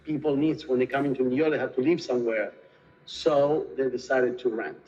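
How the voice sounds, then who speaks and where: A man's voice: mid-range voice, fairly even intonation, fairly quick, dark tone, husky, dull audio. Argentine-accented man, hesitant interview answers. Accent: Argentine Spanish. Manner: hesitant, many fillers and restarts. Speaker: real estate sales and marketing director.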